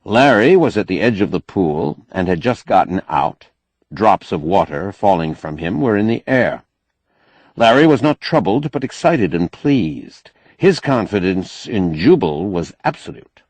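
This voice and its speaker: A male narrator reads science fiction in a low voice with a husky grain. His intonation moves widely as he tells the story, expressive yet measured, with carefully shaped phrases. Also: no echo. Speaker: audiobook narrator.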